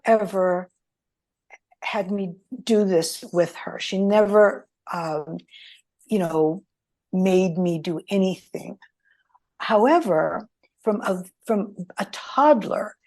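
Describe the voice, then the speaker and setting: A woman whose first language is Greek, speaking as an interview guest in a reflective, halting storytelling way. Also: medium pitch, darkish tone. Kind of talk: interview conversation.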